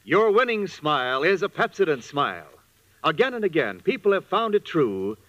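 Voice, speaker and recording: Animated male 1940s radio ad announcer. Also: fairly high pitch, normal speed, no room sound, faint music behind. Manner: polished, emphatic salesmanship. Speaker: commercial announcer. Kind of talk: 1940s radio advertising read. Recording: dull vintage radio recording.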